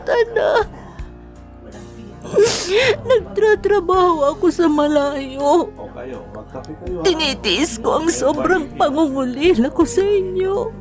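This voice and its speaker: A woman playing an anguished, bitter grieving mother in a Tagalog radio drama, with a drawn-out, emotional delivery. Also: very high voice.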